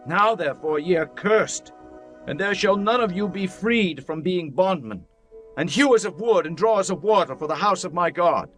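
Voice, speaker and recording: Joshua, a man doing a stern high-voiced leader. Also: expressive, moderate pace, a bit bright, soft background music. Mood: stern rebuke. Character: Joshua, commanding male Israelite leader. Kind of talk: dramatized scripture reading.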